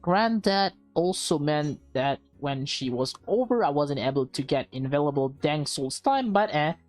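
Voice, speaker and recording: A woman's voice: deep voice, animated, normal speed, darkish tone, no room sound, faint music behind. A woman hosting a let's play, reading a visual novel aloud with chatty asides to viewers. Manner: chatty, addresses viewers directly.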